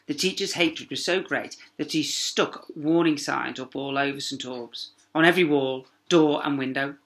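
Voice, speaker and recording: A man's voice: fairly high pitch, fairly bright tone. A man reading a children's story aloud like a primary teacher, dramatic and emphatic. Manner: dramatic, with emphatic pauses and sound words.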